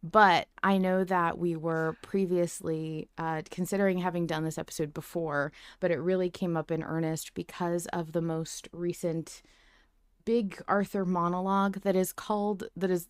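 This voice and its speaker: Brief: laid-back woman host, a bit low, dry close mic, joking and casual. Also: fairly even intonation, normal speed, clean tone, no echo. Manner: playful, casual, swears lightly. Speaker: podcast host. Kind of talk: casual podcast chat.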